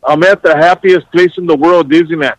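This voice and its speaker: Prank caller: man, higher voice, dry, relentlessly cheery. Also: fairly even intonation, brisk, no room sound, lo-fi sound. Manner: repetitive, oddly cheery.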